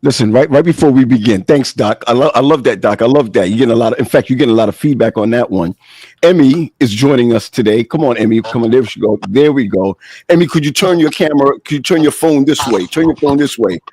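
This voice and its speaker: A man's voice: mid-range voice, fast, a little mellow, dry recording. A man hosts an online group conversation and welcomes each guest in a chatty, affirming way. The sound is dry and close. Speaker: host. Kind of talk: hosting an online call.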